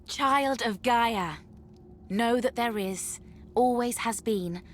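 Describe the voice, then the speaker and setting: An actress playing a pompous, solemn eldest daughter, an immortal guardian of justice in a video game. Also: female voice, on the high side, slow pace, a little hiss or hum. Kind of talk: video game character acting.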